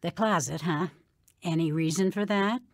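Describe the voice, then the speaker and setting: A woman's voice: fairly low pitch, moderate pace, no room sound, clean studio sound, natural voice. A woman playing a playful, reassuring old grandmother in a radio drama, folksy and soothing. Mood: kindly, playful.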